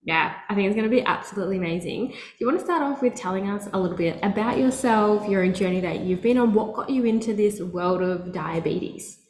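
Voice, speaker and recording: A woman's voice: medium pitch, brisk, hardly any pauses, a little mellow, roomy sound. A woman hosting a wellness podcast as a nutritionist, effusive and enthusiastic as she introduces and chats with her guest. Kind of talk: podcast hosting and casual chat.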